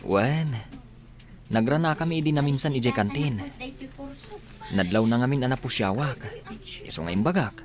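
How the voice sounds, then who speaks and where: Man acting as gentle young student, Ilocano accent. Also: medium pitch, expressive, normal speed, long pauses, a crowd in the background, lightly acted. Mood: downcast, grateful. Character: gentle, lonely young male student, grateful and soft-hearted. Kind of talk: Ilocano radio drama acting.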